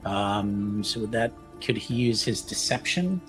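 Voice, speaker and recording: A man's voice: on the low side, expressive, a little slow, faint music behind. A man playing a tabletop game, talking casually and tentatively as he thinks aloud about his move.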